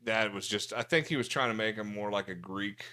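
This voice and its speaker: A man's voice: on the low side, a little fast, bright, forceful tone. A man in casual, bawdy podcast banter, telling stories in a crude, profane, joking way. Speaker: podcast host.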